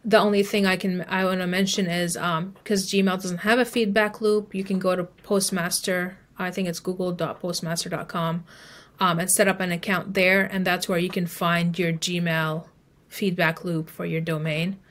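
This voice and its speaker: A woman's voice: on the low side, little pitch movement, normal speed. A woman speaking as an expert guest in a podcast interview, explaining advice conversationally. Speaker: email marketing expert guest.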